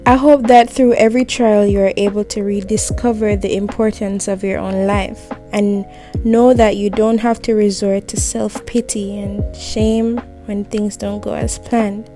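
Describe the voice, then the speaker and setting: A woman delivers a gentle, reassuring, intimate spoken monologue, speaking directly to the listener like a heartfelt voicemail. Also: mid-range voice, flat delivery, measured pace, faint music behind.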